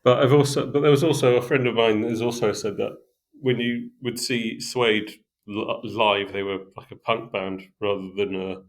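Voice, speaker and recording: A man's voice: lowish voice, fairly even intonation, moderate pace. A man co-hosting a music podcast, talking casually in a reflective, analytical way.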